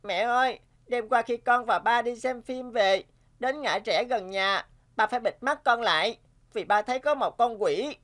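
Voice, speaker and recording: A woman voicing a curious, innocent little boy in an animated family drama, polite and childlike. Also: a little high, moderate pace, lightly acted. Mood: worried, earnest.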